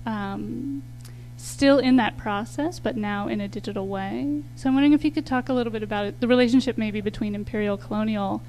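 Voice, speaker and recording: A woman's voice: a little high, fairly quick, darkish tone, a little hiss or hum, rough recording. A woman moderating a panel discussion, asking long casual questions full of you-knows. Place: stage of a lecture hall before an audience.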